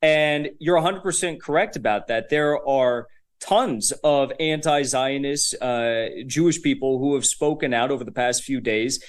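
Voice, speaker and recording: A young man appearing as a guest commentator, talking in a casual, emphatic, fast-flowing way with fillers. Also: medium pitch. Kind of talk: political commentary.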